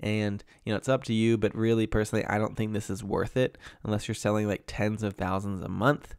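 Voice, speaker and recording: A man's voice: on the low side, fairly quick, clear, resonant tone, dry recording, studio quality. A man in his thirties with a nasal voice from a cold, walking through a screen-share tutorial as an online selling coach. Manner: steady, explanatory walkthrough. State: getting over a cold. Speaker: e-commerce coach.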